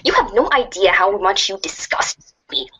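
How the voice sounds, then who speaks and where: A woman voicing a vicious, mocking blind troll girl in a breathy, hissing voice for readers' theatre. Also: medium pitch, expressive, normal speed, bright, forceful tone, full character voice, low-quality recording. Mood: menacing.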